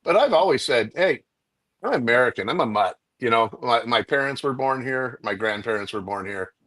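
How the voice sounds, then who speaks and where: Guy telling stories on a chat panel, dry sound, average pitch. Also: lively intonation, moderate pace, fairly bright tone, no echo. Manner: storytelling, acts out quotes.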